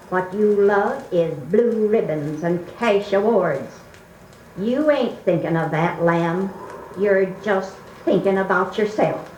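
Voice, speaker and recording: A woman's voice: mid-range voice, a little mellow. An actress playing a stern, loving, pious old grandmother with a rural American accent in a 1940s radio drama, folksy and plain-spoken. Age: older. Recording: roomy, distant, noisy 1940s record.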